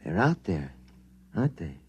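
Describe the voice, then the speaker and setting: A man's voice: low-pitched, big pitch swings, moderate pace, lo-fi sound, a little hiss or hum. A man playing an earnest, suspicious project member who also narrates in first person, in 1950s radio drama style. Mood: disbelieving, agitated.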